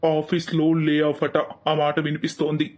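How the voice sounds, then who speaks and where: A man's voice: fairly high pitch, completely flat delivery, moderate pace, a little mellow, lightly acted. A man voicing an earnest young husband and son in a cartoon story. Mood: worried.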